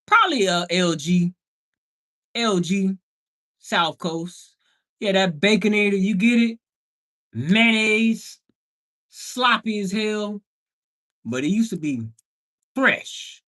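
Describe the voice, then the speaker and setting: Animated, slangy man hosting a livestream. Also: high-pitched, slow pace, long pauses. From Bahamian background. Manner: slangy, playful, emphatic exclamations. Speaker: livestream host and house flipper. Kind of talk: casual livestream chat.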